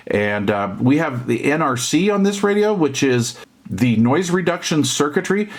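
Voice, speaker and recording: Folksy middle-aged American man, casual walkthrough. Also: mid-range voice. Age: middle-aged or older. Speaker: hobby radio reviewer and YouTube host. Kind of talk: casual unscripted product walkthrough.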